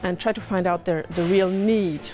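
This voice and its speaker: Woman, lower voice, measured explainer, music bed behind. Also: fairly even intonation, darkish tone. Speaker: summer school organizer. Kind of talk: explaining in an interview. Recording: music playing underneath.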